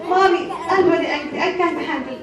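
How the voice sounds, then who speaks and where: A very high, melodramatic young girl with. She is whiny and vengeful, repeating herself in a children's puppet play. The sound is distant and very echoey, with an audience around. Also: fairly quick, crowd noise. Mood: angry, whiny. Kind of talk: children's puppet show acting. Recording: echoey hall, distant mic, audience noise.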